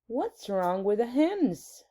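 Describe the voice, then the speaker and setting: Woman reading as a commanding, unhurried queen. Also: a little high, sing-song, mellow. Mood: brisk. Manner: commanding, matter-of-fact. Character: composed, commanding adult queen, dryly matter-of-fact.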